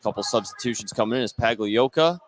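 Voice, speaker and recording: A man's voice: fairly low pitch, fast talker, crowd noise. An American man doing live play-by-play radio commentary of a basketball game. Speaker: play-by-play announcer. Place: indoor high school basketball gym. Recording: live gym noise behind the voice. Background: gym ambience, bouncing basketball.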